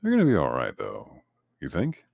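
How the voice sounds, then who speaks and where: A man playing a tabletop roleplaying game, talking casually in character with a measured, questioning manner. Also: a bass voice, huge pitch swings, gravelly, dry recording, phone-quality sound.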